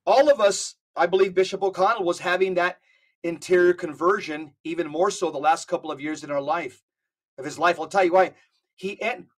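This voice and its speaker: A man talking on a podcast, emphatic and often repeating words as he gathers his thoughts. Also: sits high. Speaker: podcast co-host. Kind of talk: casual podcast conversation.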